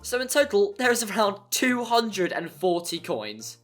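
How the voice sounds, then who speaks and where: A man's voice: high-pitched, big pitch swings, measured pace, a bit bright. Wry man narrating over music. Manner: wry, jokey, given to exaggeration. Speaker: narrator of a video game review. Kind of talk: scripted video essay narration.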